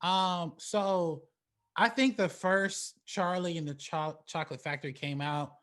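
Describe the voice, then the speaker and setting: Casual higher-voiced man hosting a talk show. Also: fairly even intonation, a little slow, fairly bright tone. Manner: stammering, repeats words, emphatic slangy swearing. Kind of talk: casual movie commentary talk.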